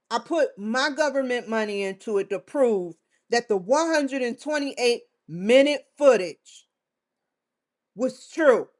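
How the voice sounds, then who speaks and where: A woman vlogger giving a defiant, confrontational monologue straight to the camera, swearing often. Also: sits high. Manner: defiant, confrontational, with frequent profanity. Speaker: vlogger hosting her own show.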